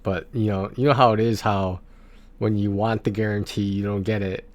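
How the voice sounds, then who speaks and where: A man chatting casually on a game livestream, talking in a rambling, halting way and often repeating his words. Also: on the low side, fairly even intonation, no echo, lo-fi sound.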